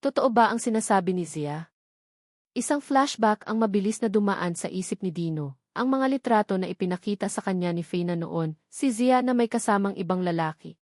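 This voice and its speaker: A woman's voice: medium pitch, lively intonation, a little fast, a little mellow, no echo, studio quality. A woman with a Filipino accent narrating a dramatic scripted story as an audiobook.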